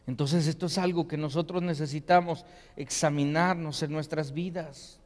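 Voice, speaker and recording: A man delivers an earnest sermon, and his voice sits fairly high. He keeps asking rhetorical questions as he preaches. His voice rings out with the long reverb of a large church hall. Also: fairly even intonation, moderate pace, darkish tone. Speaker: pastor. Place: church sanctuary.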